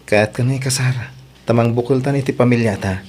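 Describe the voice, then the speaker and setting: A man playing a devoted, humble young fiancé in an audio drama, husky, with an Ilocano accent. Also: mid-range voice, steady tone, fast talker, a little mellow, slight background noise, lightly acted. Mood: tender.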